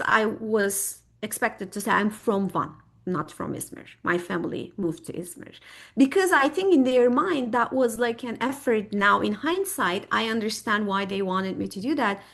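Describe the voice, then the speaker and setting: A woman's voice: medium pitch, animated, moderate pace, creaky, fairly bright tone. A middle-aged woman from Turkey, a native Turkish speaker, speaking as a guest historian in a podcast interview, thoughtful and searching for words with frequent fillers.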